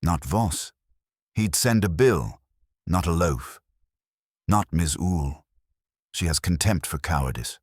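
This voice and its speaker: A man's voice: low voice, animated, slow, long pauses, husky, dry recording, studio quality, exaggerated voice. A man voicing an aloof, cerebral detective in a mystery audiobook, speaking in formal, pompous pronouncements. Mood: pondering, certain.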